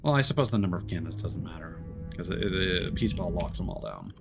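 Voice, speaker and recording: Male streamer, low-ish voice, rambling casual game commentary, music bed underneath. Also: big pitch swings, fast talker, vocal fry. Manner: rambling, self-interrupting, mild swearing.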